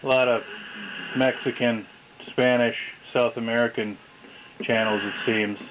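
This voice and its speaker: Man, casual radio demonstrator, monotone and halting, on thin lo-fi camera audio with loud static. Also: medium pitch. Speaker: seller demonstrating a vintage radio. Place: indoors, beside a shortwave radio on a table. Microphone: camera mic, off-screen speaker. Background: shortwave radio static and stations.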